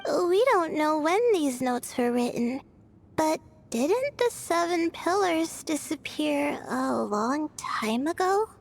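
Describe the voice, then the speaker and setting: Studious young girl, very high gentle voice, unhurried, hesitant, video game, music behind. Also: lively intonation, exaggerated voice. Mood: thoughtful, explaining.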